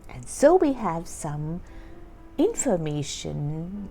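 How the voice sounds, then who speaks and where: Very slow, animated woman reading a storybook. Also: fairly low pitch. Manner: deliberate, expressive storytelling with emphasized words. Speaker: children's storybook reader. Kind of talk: scripted read-aloud narration for young children. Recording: music under the voice. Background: background music.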